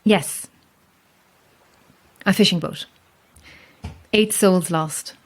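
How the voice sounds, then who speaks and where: Dry, low-voiced woman lighthouse keeper. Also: drawn-out words. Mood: guarded, sombre. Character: guarded, sceptical lone lighthouse keeper, woman, wryly amused. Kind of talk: naturalistic audio horror drama acting.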